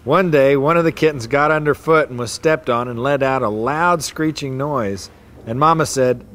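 A man of ordinary pitch reads short family anecdotes aloud to his relatives in a hotel atrium. His delivery is plain and unhurried, and he stops now and then to chuckle or add a remark. A faint hum of room noise sits underneath. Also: a bit bright. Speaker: family member reading stories aloud. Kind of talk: casual reading aloud to relatives.

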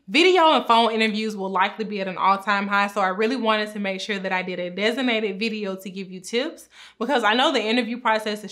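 Upbeat bright-voiced woman giving advice. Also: mid-range voice. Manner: upbeat, clear, instructive, talks with her hands. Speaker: pharmacist giving career advice. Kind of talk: direct-to-camera advice talk. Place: home room indoors, small. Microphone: camera framed at chest height.

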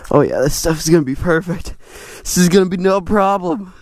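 A young man vlogging outdoors in a snowy field, laughing a lot and excitable, out of breath, recorded on a helmet camera. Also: high-pitched, brisk, dry recording, clean studio sound. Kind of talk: casual vlog commentary while riding. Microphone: helmet-mounted action camera. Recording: loud wind and engine noise.

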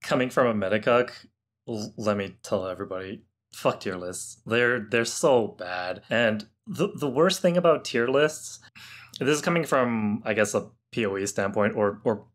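Blunt male gamer, lowish voice. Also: expressive, moderate pace. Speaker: podcast panelist. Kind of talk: casual, opinionated gaming chat.

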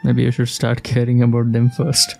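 Male gamer, casual reactive commentary, mid-pitched and mellow, thinking out loud over game music. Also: monotone, normal speed, vocal fry. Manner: musing aloud, short reactive remarks. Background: video game music.

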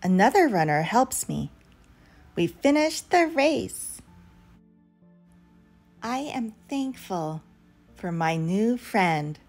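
A woman's voice: mid-range voice, sing-song, slow, pauses often, a little mellow. A woman reading a picture book aloud expressively for young children, as a storyteller. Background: background music.

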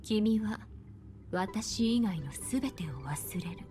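A woman voicing a calm, enigmatic, seductive woman in an anime game, speaking slowly and soothingly with gentle command. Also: medium pitch. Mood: soft, parting. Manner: slow, deliberate, soothing. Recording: background music.

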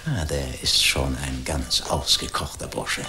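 An actor playing a flustered, excitable broadcasting director, hurried and stammering, in a radio play. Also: male voice, extremely low pitch, echoey room, over music. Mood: wry amusement. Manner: hurried, stammering, repeating names. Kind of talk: German radio play acting.

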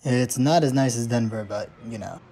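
A woman voicing a calm, dry teenage boy in a fan comic dub, talking in a flat, reassuring way. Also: very deep voice, normal speed, husky, full character voice.

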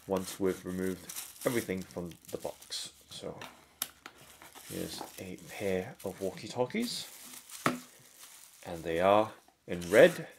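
A man giving unhurried, step-by-step product unboxing commentary indoors at a tabletop. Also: deep voice, creaky. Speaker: product reviewer. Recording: faint handling and crinkling noise. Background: faint clicks and packaging crinkle.

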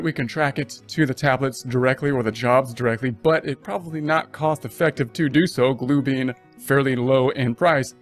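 A man teaching accounting in a casual voice-over lecture, talking it through and restarting phrases as he goes. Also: mid-range voice. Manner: conversational, restarts mid-phrase, thinks aloud. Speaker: accounting instructor.

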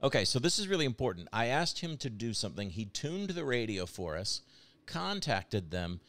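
A man narrating a casual software demonstration in a conversational, explanatory way. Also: mid-range voice, very animated, moderate pace, slight background noise. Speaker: software demo presenter.

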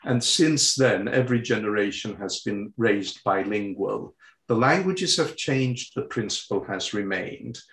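A man in his sixties, raised with French as his first language, talks slowly in an interview. He pauses often on fillers and restarts his sentences. The room around him gives his voice a noticeable echo. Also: fairly low pitch, lively intonation, fairly bright tone. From Sweden. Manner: hesitant, many fillers and restarts. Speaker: guest. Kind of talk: conversational interview answers. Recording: roomy echo.

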